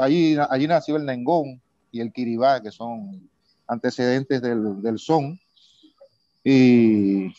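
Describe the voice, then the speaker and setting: Polite Cuban singer, dry dull line. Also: male voice, medium pitch, dark tone, no echo. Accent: Cuban Spanish. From eastern Cuba. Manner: polite, reflective, unhurried. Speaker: singer, guest. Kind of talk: casual interview.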